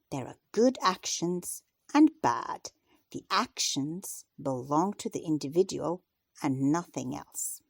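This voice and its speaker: Female children's narrator, lowish voice with wide melodic swings, scripted read-aloud in a dry, close sound. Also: dry recording. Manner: deliberate, expressive read-aloud delivery. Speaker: storyteller reading to children.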